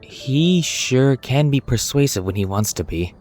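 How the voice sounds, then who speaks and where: A man's voice: mid-range voice, unhurried, faint music behind. A man giving casual reactions and commentary as he plays a video game. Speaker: gaming streamer. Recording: clear steady background noise.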